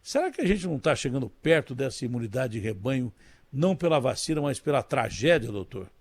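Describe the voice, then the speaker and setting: A man's voice: medium pitch, very animated, brisk, breathy edge, no room sound. A man with a Brazilian Portuguese accent hosting a radio interview, speaking in a conversational, emphatic way. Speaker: radio host.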